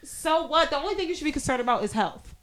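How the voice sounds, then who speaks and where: Quick-talking woman in casual chat. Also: high-pitched, expressive, fairly bright tone. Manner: quick, earnest, reasoning. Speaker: podcast co-host. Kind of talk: casual podcast banter.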